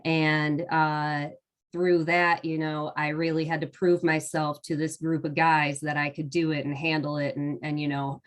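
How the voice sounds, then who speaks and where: A woman's voice: sits low, flat delivery, unhurried. Congested young woman telling a story. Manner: chatty, laughs often, frequent ums. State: congested from a cold. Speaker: webinar panelist. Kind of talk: personal story told on a panel.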